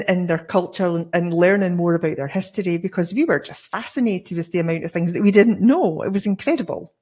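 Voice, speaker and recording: A woman's voice: mid-range voice, darkish tone. A middle-aged Scottish woman in casual conversation, telling travel stories in a chatty way. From Scotland. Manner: chatty, storytelling. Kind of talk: casual chat.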